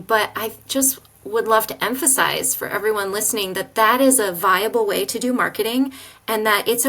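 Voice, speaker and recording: A woman's voice: mid-range voice, a bit bright. Reflective woman hosting a podcast. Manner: reflective, thinks aloud with "like" and "you know". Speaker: podcast host. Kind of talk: casual interview conversation.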